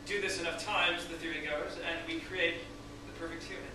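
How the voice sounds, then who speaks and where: Smug Russian scientist, played by a male actor: high male voice, formal, clinical, distant noisy pickup. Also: fairly even intonation, fairly quick, close to an everyday voice. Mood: condescending, clinical. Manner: formal, lecturing, condescending. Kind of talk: staged script read-through. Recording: echoey room, distant mic, noisy.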